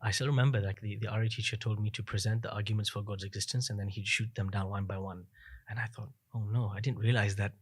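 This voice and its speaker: A man in his thirties, a podcast guest, telling his story in a fast, reflective way with many fillers. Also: lowish voice, steady tone, husky. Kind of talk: casual interview chat.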